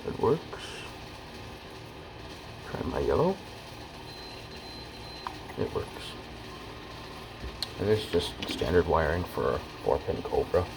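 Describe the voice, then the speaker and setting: A man's voice: very deep voice, huge pitch swings, slow pace, vocal fry, pauses often, background noise. A man giving a casual hands-on how-to as an amateur hobbyist, muttering to himself in short fragments as he works. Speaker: hobbyist demonstrating electronics repair.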